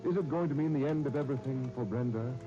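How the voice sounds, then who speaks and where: An American man announcing on 1930s radio, narrating and pitching the sponsor in a polished, folksy, persuasive way. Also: fast talker, over music. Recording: muffled 1930s radio recording.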